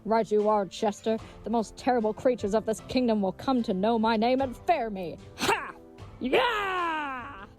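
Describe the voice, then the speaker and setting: A woman's voice: fairly high pitch, lively intonation, unhurried, faint music behind. A woman playing a gallant, dim and earnest leathersmith turned would-be knight, with pompous, flowery speech in a comedic audio drama. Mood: bold, boastful.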